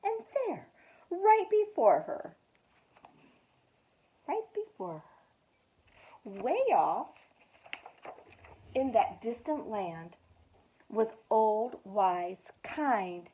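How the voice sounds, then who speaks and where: A woman reads a children's storybook aloud as a youth director, expressive and dramatic, with well-timed pauses. Her voice sits mid-range for a woman. The audio is narrow and phone-like. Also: mid-range voice.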